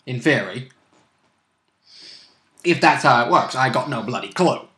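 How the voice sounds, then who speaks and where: Casting: male gamer, bright mid-pitched voice, rambling playful chat, roomy sound. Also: lively intonation, unhurried. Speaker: player in a tabletop role-playing game. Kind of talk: casual game chat. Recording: roomy, echoing room sound.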